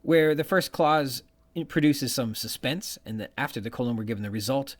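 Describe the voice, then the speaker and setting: A man's voice: mid-range voice, normal speed. A man hosting a podcast, giving bookish literary commentary from prepared notes and quoting passages as he goes. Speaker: podcast host.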